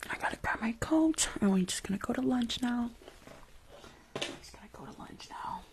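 A young woman playing a rude, weary school nurse in an ASMR roleplay, grumbling in a breathy whisper close to the mic. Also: on the high side, lively intonation, moderate pace. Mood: eager. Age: young adult. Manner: sassy, grumbling, rambling. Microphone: close foam-covered microphone on desk.

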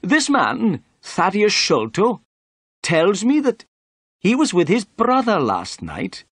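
A pompous police inspector in an audiobook mystery, pitched well up and swooping with self-importance. He is brusque and insistent, sure of his own authority. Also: wildly swooping pitch, slow, a bit bright, breathy edge, dry recording, studio quality, exaggerated voice. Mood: pompous, certain.

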